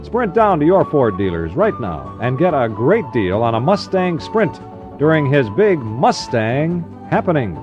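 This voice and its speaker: A man's voice: on the high side, big pitch swings, measured pace, muffled recording. An American man presenting as a 1960s AM radio DJ and announcer. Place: dry radio studio. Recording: 1960s radio aircheck, music behind.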